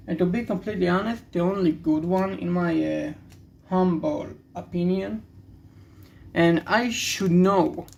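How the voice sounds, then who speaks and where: A young man with an Israeli accent, a native Hebrew speaker, rambling candidly and haltingly as he hosts a casual video. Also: high voice, creaky. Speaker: card-opening video host. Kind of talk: casual rambling commentary while opening cards.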